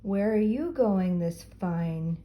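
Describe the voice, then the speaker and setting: A woman's voice: medium pitch, animated, takes it slowly, highs cut off. A woman voicing a crafty, greedy male storybook wolf with a sly, coaxing manner.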